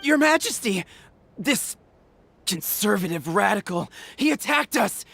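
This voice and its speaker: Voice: mid-range voice, fairly bright tone, a little hiss or hum. An actor voicing a loyal palace guard who reports urgently and defers to the king.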